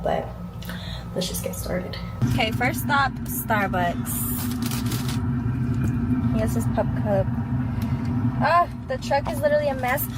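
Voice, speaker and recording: A woman trucker chats to her camera, rambling and casual, her voice raspy because she is sick. She is heard in a roomy, echoing space with clear vehicle noise behind her. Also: on the high side. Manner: chatty, rambling, says y'all. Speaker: truck driver vlogger. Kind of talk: casual vlog talking to camera.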